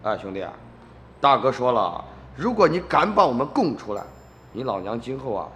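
A man acting a wily, smooth-talking crook in a radio drama, cajoling and sly with an undercurrent of menace. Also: mid-range voice, sing-song, normal speed, fairly bright tone, slight background noise. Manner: cajoling, sly, interjection-laden. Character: wily, smooth-talking tomb-robber dealer, quietly menacing.

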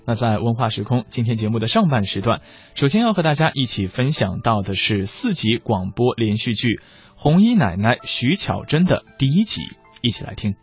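A male radio host with a husky, medium-pitched voice. He delivers a scripted introduction with lively intonation, in a dull lo-fi sound. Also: normal speed.

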